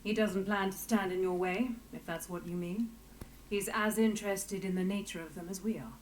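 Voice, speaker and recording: An actress playing a composed, businesslike investigator in a fantasy game, direct in manner. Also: female voice, medium pitch, fairly even intonation, moderate pace, natural voice, low-quality recording. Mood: composed, knowing. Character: composed, businesslike woman colleague of an inspector. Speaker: game character. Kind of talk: scripted fantasy game dialogue. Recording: light background ambience and noise.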